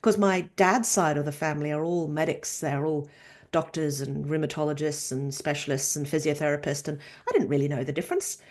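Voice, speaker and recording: A woman in her fifties from Norway, a chiropractor and researcher telling her story in a casual, animated interview. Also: low voice, a little fast. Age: 50s. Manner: animated storytelling, acts out quoted voices.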